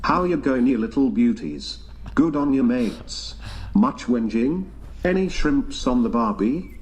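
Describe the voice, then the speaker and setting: A man's voice: mid-range voice, lively intonation, unhurried, a little mellow, slight background noise, lightly acted, rough recording. A man putting on a crude, over-the-top bloke caricature with a mocking, slang-heavy drawl, reading out a donation message.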